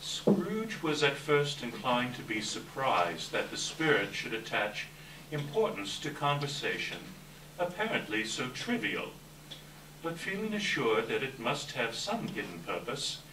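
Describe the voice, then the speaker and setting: A man's voice: medium pitch, very animated, unhurried, pushed and bright. A man reading scripted story narration at a live readers theater. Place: indoor live staged reading. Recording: echoey room, distant mic, faint noise.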